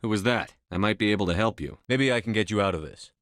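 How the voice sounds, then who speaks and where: A man playing a tough, resolute military space commander in a video game, speaking in a terse, commanding, measured way. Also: lowish voice, fairly bright tone, no room sound, clean studio sound, close to an everyday voice. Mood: businesslike, calm. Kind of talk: scripted video game dialogue.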